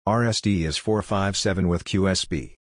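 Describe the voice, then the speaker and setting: A man reading out short scripted phrases for a practice drill in a measured, clipped way. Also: sits low, fairly even intonation. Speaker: narrator of Morse code practice drills. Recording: clear background noise with clicks.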